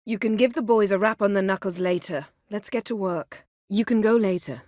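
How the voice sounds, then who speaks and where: A woman voicing a proud, sharp-tongued sorceress in a video game, speaking crisp, formal with an imperious, sardonic manner. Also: medium pitch, moderate pace, no echo, clean studio sound, close to an everyday voice. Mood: cool, commanding. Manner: crisp, formal, haughty and biting. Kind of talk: video game character dialogue.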